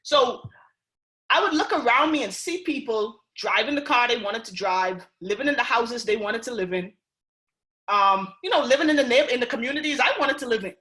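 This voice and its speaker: Bahamian lady with a high bright voice hyping up a call, lots of "right?", kinda echoey room. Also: moderate pace. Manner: animated, keeps asking "right?" and "y'all", keeping it real. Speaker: speaker on a recruiting call. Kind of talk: motivational pitch on a conference call.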